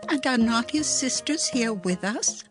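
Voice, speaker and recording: A woman's voice: fairly high pitch, expressive, a little slow. A woman playing a hard, pointed woman of ancient times in a dramatized scripture production, with declamatory delivery. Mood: scornful doubt. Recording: music behind the voice. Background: prominent background music.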